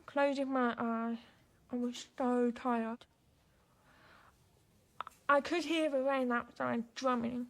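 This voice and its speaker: A young woman acting in a radio drama as a frightened, insistent teenage girl, speaking haltingly. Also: fairly high pitch, steady tone. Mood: shaken, recounting.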